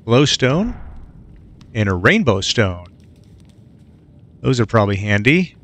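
A man's voice: mid-range voice, very animated, takes it slowly, fairly bright tone. A man casually commenting to himself while playing a video game, easygoing and muttering with plenty of fillers. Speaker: let's play gamer.

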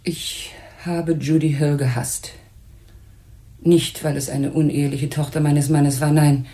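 An actress playing a proud, bitter older widow and mother in a German crime radio play, speaking in a formal, genteel, measured way. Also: deep voice, flat delivery, dark tone. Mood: bitter, anguished.

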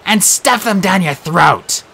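A man voicing a fierce, defiant teenage warrior girl who yells insults in a raised, higher voice. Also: animated, slow, gravelly, slight background noise, full character voice. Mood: defiant yelling. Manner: yelling, defiant.

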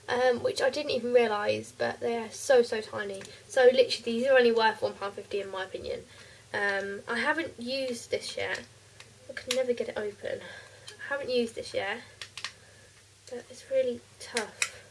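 A teenage girl with a cold and a croaky, stuffy voice, chatting casually through a makeup haul in her bedroom, with lots of ums. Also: sits high, far from the mic, slight background noise, poor audio. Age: teens. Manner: chatty, rambling with many ums. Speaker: beauty vlogger. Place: bedroom, indoors.

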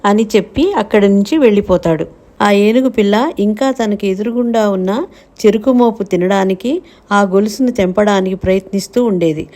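A woman's voice: medium pitch, normal speed, warm tone. A woman giving scripted narration of a children's story.

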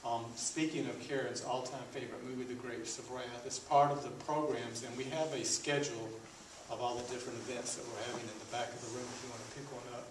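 A man's voice: medium pitch, flat delivery, fast talker. A middle-aged man hosting an event, giving introductions to a live audience in a meeting room. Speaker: event host introducing panelists. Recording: echoey room from far off, clear noise.

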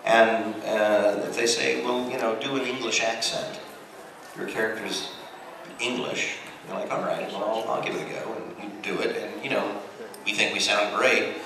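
A man's voice: on the low side, fairly even intonation, normal speed, vocal fry. A middle-aged American man, a voice actor telling casual anecdotes on a convention panel and acting out the exchanges he quotes. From United States. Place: large convention hall, on stage. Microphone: handheld mic through PA, filmed from audience. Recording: echoey hall from far off, noisy.

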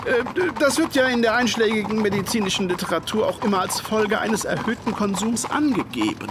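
An actor playing an earnest, deferential Victorian doctor who narrates and converses formally, a little hesitantly, in a radio play. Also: male voice, sits high, expressive, brisk, noisy background. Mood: inquiring.

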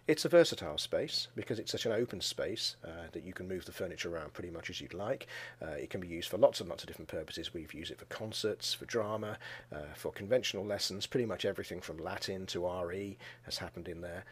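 A man, a school educator, giving a measured conference talk over slides and video, often pausing with "uh". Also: sits low, slightly rough. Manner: measured and explanatory, with frequent "uh" pauses.

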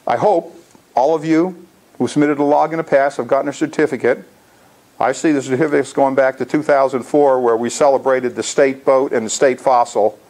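A man in his sixties with a gravelly voice, giving an informal slide presentation to a club. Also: medium pitch, fairly even intonation, a little fast, darkish tone, poor audio. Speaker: amateur radio club presenter. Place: indoor lecture room.

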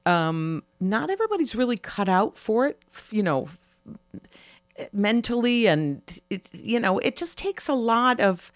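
A woman's voice: unhurried, no echo. A middle-aged woman, a guest actress, chatting wryly and expressively in an interview. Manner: expressive, wry, swings between high and low tones. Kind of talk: casual interview chat.

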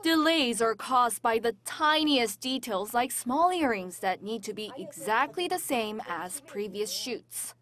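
A woman narrating a news feature in a crisp, measured broadcast read. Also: fairly high pitch, animated, fairly bright tone. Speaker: reporter narrator.

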